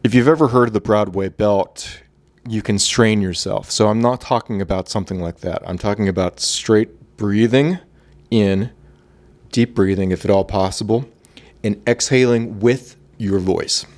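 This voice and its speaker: Man, low voice, relaxed conversational voice coach. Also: normal speed. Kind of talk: instructional talk.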